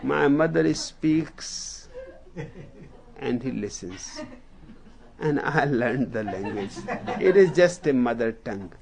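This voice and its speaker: Slow gravelly Indian man. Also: medium pitch, expressive, crowd noise. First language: Hindi. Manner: deliberate, unhurried, with long pauses between phrases. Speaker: spiritual teacher. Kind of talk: slow storytelling discourse.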